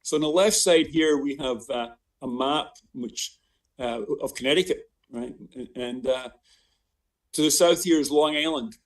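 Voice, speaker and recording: An older man with a Scottish accent giving an informal academic lecture, often breaking off and repeating words as he goes. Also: on the high side. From Scotland. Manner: halting, with frequent self-interruptions and repeated words. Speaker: visiting professor giving a seminar. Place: remote online seminar. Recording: distant mic on online seminar.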